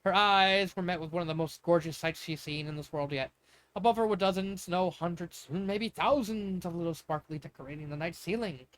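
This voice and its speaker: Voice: mid-range voice, fairly quick, dry recording, rough recording. Stumbling amateur storyteller reading fan fiction. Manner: stumbling, self-correcting delivery. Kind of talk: amateur dramatic story narration.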